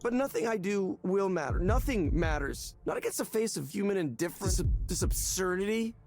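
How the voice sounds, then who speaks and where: A desperate man in therapy in a video game. His voice is high and gravelly, swinging widely in pitch as he stumbles and repeats himself, with faint music behind him. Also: expressive, darkish tone. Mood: bitter, desperate. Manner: halting, repeats himself, pleading. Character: desperate, distraught male therapy client.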